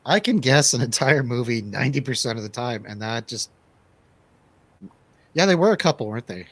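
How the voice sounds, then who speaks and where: A man's voice: mid-range voice, expressive, a little fast, fairly bright tone. A man hosting a radio show, chatting casually about movies in a colloquial, jokey, agreeable way. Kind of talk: casual movie review chat.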